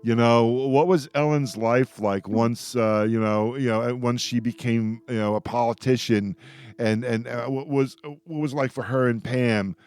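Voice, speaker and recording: A man hosting a radio roundtable, chatting as an enthusiastic fan in an effusive, halting way with repeated words. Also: mid-range voice, steady tone, a little mellow, clean tone.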